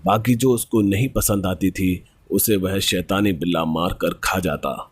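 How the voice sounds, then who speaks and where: A man's voice: low voice, steady tone, a little slow, slightly rough, no echo. A man narrating a scripted horror story as a storyteller.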